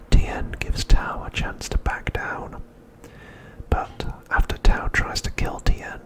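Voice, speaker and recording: A man whispering a scripted recap as ASMR narration, softly and with deliberate pauses, close to the microphone. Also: poor audio.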